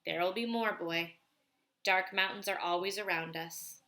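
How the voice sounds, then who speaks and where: Storybook elder played by a woman: wise old grandfather, patient, measured delivery, reassuring, bright tone. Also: fairly low pitch. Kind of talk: storybook read aloud.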